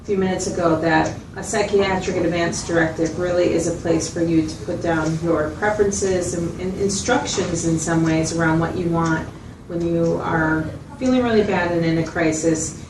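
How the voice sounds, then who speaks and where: A woman's voice: lowish voice, natural voice. A woman playing a supportive mental health clinician, explaining and reflecting back in a conversational clinical interview. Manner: reflective, explanatory, checking in with the listener. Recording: distant mic, roomy echo, loud background noise.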